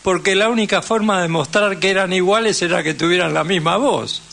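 A man's voice: high-pitched, a little fast, vocal fry, fairly bright tone. An older man with an Argentine accent, chatting casually and telling anecdotes on the radio. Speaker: veteran radio and dubbing professional.

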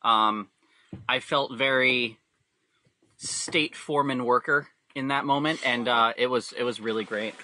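A man with a very bright, forceful voice at a middle pitch, recorded dry. He chats casually as an interview guest, telling his own story in a halting, rambling way with self-corrections. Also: steady tone, no room sound. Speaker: podcast guest, hobby camper builder. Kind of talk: casual chat, personal storytelling.

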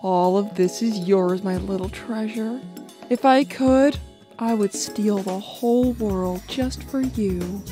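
A woman's voice: mid-range voice, takes it slowly, warm tone, lightly acted. A woman voicing a doting, coaxing bat mother with a dramatic manner in a cartoon comic dub. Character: doting, smooth-talking adult female bat mother. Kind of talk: animated comic dub acting. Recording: music bed behind voice.

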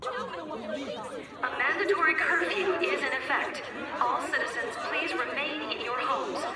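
A woman's voice: sits high, slow pace. A woman voicing an impersonal, stern public announcement system in a game. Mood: impersonal, official. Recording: echoing distant loudspeaker over crowd.

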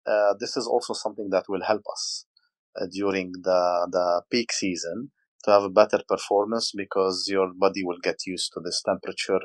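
Low-voiced Lebanese man in his forties. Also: dry recording. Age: 40s. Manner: hesitant, many fillers, storytelling. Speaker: podcast guest. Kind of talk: casual interview talk.

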